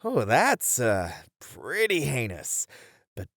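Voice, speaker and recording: A man's voice: mid-range voice, wildly swooping pitch, slow, a bit bright, dry recording, heavily acted. A man playing a hesitant but intrigued game developer in a conversational ASMR roleplay, casually thinking aloud on a close mic. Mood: thoughtful. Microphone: close studio mic.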